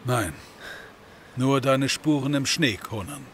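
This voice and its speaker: An actor playing a level-headed Norse warrior in an audio drama, speaking in a matter-of-fact way. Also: male voice, mid-range voice, takes it slowly, a little hiss or hum, natural voice. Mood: skeptical.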